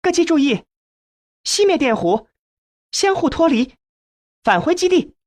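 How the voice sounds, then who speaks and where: A woman performs a commanding aviator in an audio drama, calling out clipped orders. Her voice is high and swings strongly in pitch. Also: sing-song, unhurried, darkish tone. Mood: brisk command. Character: commanding aviator giving orders over a radio headset. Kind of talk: audio drama radio call.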